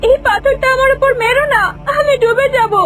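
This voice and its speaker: A woman voicing a gentle young heroine in a cartoon, tearful and pleading for her life. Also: extremely high pitch, steady tone, a little fast. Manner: pleading, tearful cries for help. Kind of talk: cartoon voice acting. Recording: music bed underneath.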